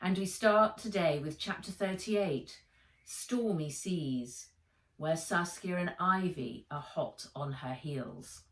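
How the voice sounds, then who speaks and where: A woman narrates a children's audiobook at a very slow, deliberate pace. Her pitch sits a little low, and she reads with expression. The recording is very echoey, as if she is some way from the microphone. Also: fairly bright tone. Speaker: author reading own book aloud. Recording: roomy echo, distant microphone.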